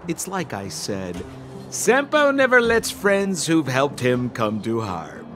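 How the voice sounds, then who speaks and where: A man's voice: mid-range voice, wildly swooping pitch, takes it slowly, music in the background. A man voicing a shifty, glib young con man in a video game, theatrical and full of exaggerated salesman patter. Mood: persuasive, glib.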